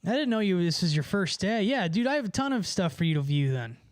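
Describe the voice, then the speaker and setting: Slangy, profane man with a higher voice. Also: big pitch swings, quick pace, dry recording. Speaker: livestreamer and musician. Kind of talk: casual livestream chat answering viewers.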